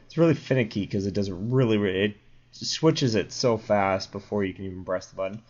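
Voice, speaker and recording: A man's voice: fairly low pitch, brisk, a little mellow. A young man with a sore throat and a slightly crackly voice, casually explaining code in a rambling screen-recorded tutorial with fillers and self-corrections. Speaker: programming tutorial host. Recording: dull lo-fi mic.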